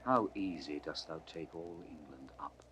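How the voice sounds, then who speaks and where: A man's voice: a bass voice, normal speed, pushed and bright, slight background noise. An actor playing a stern, suspicious, grief-struck nobleman in weighty, declamatory 1960s Shakespearean style. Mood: troubled, declaiming. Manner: declamatory, weighty verse delivery. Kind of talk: 1960s classical Shakespearean recorded acting.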